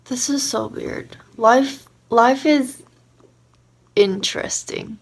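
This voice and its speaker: A young woman from Korea, native speaker of Korean, talks straight to the camera in a casual solo vlog. She thinks out loud, restarts her sentences and fills them with likes, and her pitch jumps up when something excites her. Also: slow pace, breathy edge. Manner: chatty and reflective, many likes and restarts. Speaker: vlogger.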